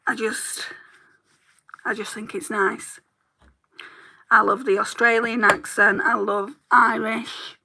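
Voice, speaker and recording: A woman's voice: on the high side, little pitch movement, slow pace, vocal fry, long pauses, background noise. A British woman chatting casually while she does her makeup live, greeting viewers by name in a rambling way, her throat getting a little sore. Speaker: beauty vlogger, livestream host.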